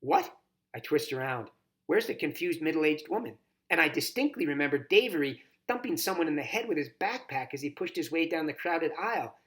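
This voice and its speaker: A man reading a children's novel aloud as a volunteer, narrating in an expressive, dramatized first-person style. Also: on the high side, fairly quick. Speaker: volunteer storybook reader.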